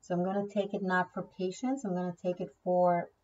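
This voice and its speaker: A woman's voice: on the low side, flat delivery, brisk, darkish tone, recorded from a distance, highs cut off, slight background noise. A woman whose first language is Hebrew, giving a casual tarot reading, chatty and thinking aloud, often repeating key words. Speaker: tarot reader.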